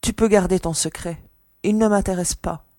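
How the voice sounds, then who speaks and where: A woman's voice: medium pitch, steady tone, moderate pace, warm tone, no echo, clean studio sound, close to an everyday voice. An actress playing a skeptical young woman officer in a sci-fi audio drama, questioning and coolly teasing. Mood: skeptical, coy. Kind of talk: French audio drama dialogue.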